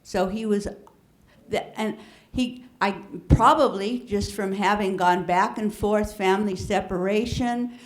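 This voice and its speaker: Woman on a panel, earnest, halting, slow unhurried speech. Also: medium pitch, fairly even intonation. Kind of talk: personal recollection on a panel.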